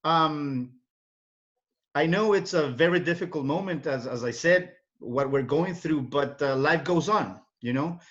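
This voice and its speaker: Higher-voiced Ecuadorian man, muffled audio. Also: unhurried, a bit bright. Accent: Ecuadorian Spanish. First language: Spanish. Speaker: interview guest, English teacher. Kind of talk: casual interview. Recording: dull, lo-fi call audio.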